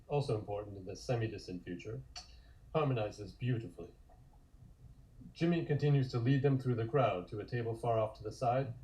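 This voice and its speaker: A man's voice: medium pitch, warm tone, low-quality recording. A man reading scripted narration and stage directions.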